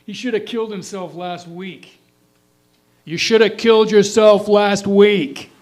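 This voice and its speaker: A man acts out a young waiter with a fairly high voice, impatient and sure of himself. He wants his work over with, and it is delivered as staged reader's theatre. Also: little pitch movement, unhurried, darkish tone. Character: impatient young male waiter, confident, eager to go home.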